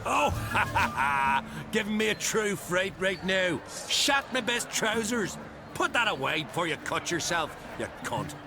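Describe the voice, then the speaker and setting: A man's voice: sits high, very animated, unhurried, gravelly, exaggerated voice. An actor playing a hot-tempered, foul-mouthed male island chieftain who taunts and swaggers in a game cutscene. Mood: mocking. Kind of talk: video game cutscene acting. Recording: game cutscene with loud score. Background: loud orchestral music.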